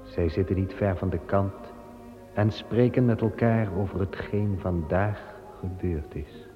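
A man narrating an old radio drama in a grave, measured tone. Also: sits low. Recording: dull old recording with long reverb and soft music.

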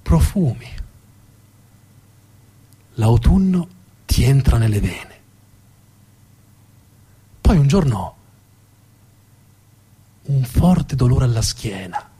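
A man's voice: medium pitch, big pitch swings, very slow, long pauses, mellow, a little hiss or hum. A man narrating as a stage storyteller, dramatic in delivery and repeating words for emphasis.

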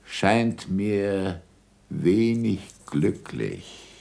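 An old scholarly father in a radio play, imperious and wary. His slow, low voice swings widely as he dictates with dry irony and snaps short orders. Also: sing-song, gravelly, warm tone, heavily acted. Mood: dictating, ironic. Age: older. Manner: deliberate dictation, ironic, curt commands. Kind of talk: German radio drama acting.

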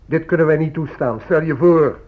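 Pompous husky government man, played by an actor. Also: on the high side, normal speed, narrow, tinny audio, close to an everyday voice. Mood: indignant, scornful. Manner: pompous, patronising. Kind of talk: radio drama acting.

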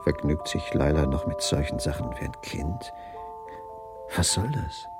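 An actor playing a gloomy, suspicious husband in a radio crime drama, brooding and halting when questioned. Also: male voice, lively intonation, over music. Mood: bitter, brooding. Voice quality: gravelly, husky low voice. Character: gloomy, suspicious dining-car waiter, husband of an injured woman.

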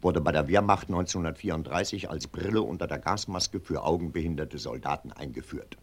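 An actor playing a pompous older colonel in a German radio drama, clipped, condescending and blustering. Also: male voice, rumbling low, brisk. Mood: brisk, prying. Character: pompous, brisk older colonel and family patriarch.

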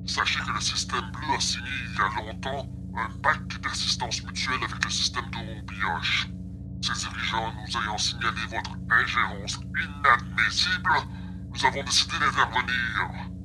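A man's voice: mid-range voice, moderate pace, natural voice. A man playing a sardonic, threatening enemy officer with pompous, formal delivery in an audio drama. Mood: cold threat. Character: haughty sardonic military villain, male, threatening officer. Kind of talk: acted audiobook dialogue. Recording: clearly noisy background with hum.

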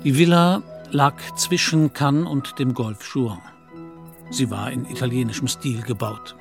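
A man narrates a radio play from a literary script. His voice is mid-pitched and his storytelling measured. Faint music runs behind him. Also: fairly even intonation, gravelly.

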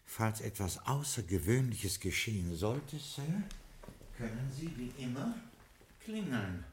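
An actor playing a dignified butler who narrates his memoirs in a 1950s radio crime play, formal and measured. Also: medium pitch, very animated, noisy background. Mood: calm.

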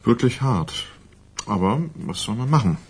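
An actor playing a cynical, blunt small-town newspaper editor in a radio drama, with a husky voice and sardonic, casual manner. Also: male voice, mid-range voice, animated, normal speed. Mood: sober advice. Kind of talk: German radio crime drama acting.

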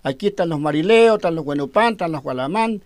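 Firm high-voiced man, dry sound. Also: lively intonation, quick pace, dry recording. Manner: firm, repetitive, stumbling over words. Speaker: Mapuche cacique being interviewed. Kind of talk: radio interview answers.

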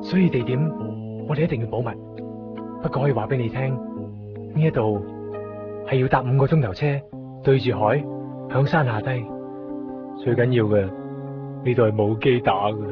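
A man's voice: medium pitch, normal speed, pauses often, close to an everyday voice. Guy acting nervy evasive young hong kong man, cantonese radio play, repeats himself, dull old recording w music under. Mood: brooding, secretive. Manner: exasperated, emphatic, repeats himself. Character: anxious, evasive young man hiding from a clinging ex. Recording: muffled 1990s radio drama over music.

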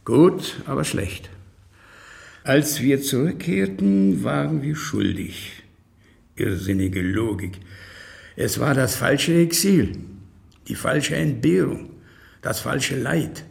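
A bitter old communist man in a radio play, his voice deeply gravelly and very husky. He speaks slowly, with solemn, halting weight, brooding over his guilt. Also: sing-song, no echo, heavily acted. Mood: defiant, bitter. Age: older. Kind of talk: radio drama acting with monologue.

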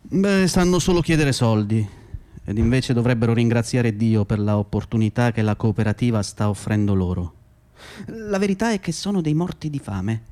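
An actor playing a condescending, self-justifying labour agency manager in a radio drama, with patronising, hesitant, repetitive speech. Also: male voice, mid-range voice, expressive, moderate pace, dark tone, natural voice. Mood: contemptuous. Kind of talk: radio drama monologue and dialogue.

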